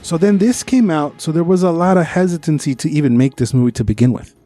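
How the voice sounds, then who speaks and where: A man's voice: a little high, quick pace, dark tone, soft background music. A man co-hosting a podcast, giving an informal, opinionated movie review in casual conversation and swearing freely.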